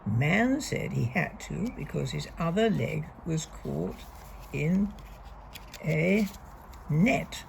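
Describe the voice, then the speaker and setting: A woman reading a picture book aloud to young children, deliberately and expressively, leaning on key words. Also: low-pitched, lively intonation, vocal fry, darkish tone, a little hiss or hum. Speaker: storybook reader.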